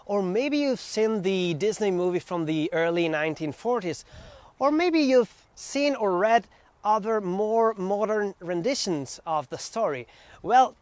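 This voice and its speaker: High-pitched Italian-accented man presenting a lesson. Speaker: presenter of language lessons through songs. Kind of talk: scripted educational presentation to viewers.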